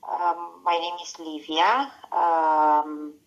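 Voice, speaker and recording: A woman with a Romanian accent speaks slowly over a muffled call line, her voice low and gravelly. She answers interview questions haltingly, filling pauses with many small hesitations. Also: steady tone. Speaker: nurse. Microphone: phone call.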